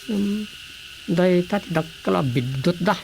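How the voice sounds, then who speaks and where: A man voicing a gravelly complaining villager. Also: high-pitched, expressive, takes it slowly, over loud music, exaggerated voice. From Philippines. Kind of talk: radio drama acting. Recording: hissy noisy radio recording.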